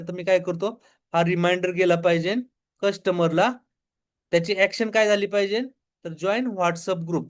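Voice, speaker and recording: Male tutorial instructor, Marathi accent, notably high voice, explains each step and asks then answers his own questions. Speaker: online business tutorial instructor. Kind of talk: step-by-step screen demo tutorial.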